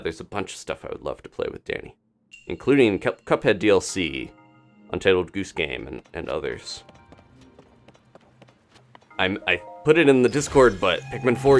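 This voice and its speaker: A man's voice: deep voice, very animated, normal speed, faint music behind. A man doing live gameplay commentary as a streamer, chatting casually and thinking aloud in a rambling way. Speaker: video game streamer.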